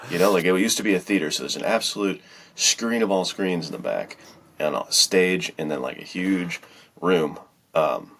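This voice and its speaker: A man's voice: low voice, expressive, moderate pace, gravelly, fairly bright tone, a little hiss or hum. A man co-hosting a podcast in casual chat, rambling along informally and with enthusiasm. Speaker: podcast co-host.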